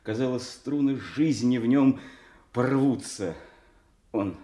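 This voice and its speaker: An actor playing a noble, sorrowful young avenging brother in a Shakespearean audio drama, with grave, measured declamation. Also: male voice, medium pitch, expressive, mellow, echoey room. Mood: grieving, intense. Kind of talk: classical Shakespearean stage acting for audio drama.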